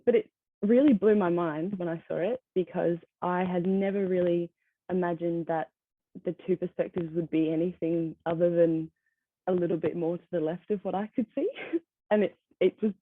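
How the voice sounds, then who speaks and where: A seventeen-year-old woman giving a conference talk over a video call, soft-spoken with many ums and light laughs. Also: on the low side, steady tone, moderate pace, no room sound. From Australia. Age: teens. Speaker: conference speaker. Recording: tinny phone-like line, dry.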